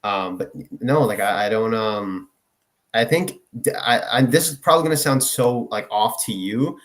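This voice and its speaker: A man's voice: on the low side, moderate pace. A young man from Finland, a guest in a casual chat, rambling with many fillers and swearing. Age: young adult.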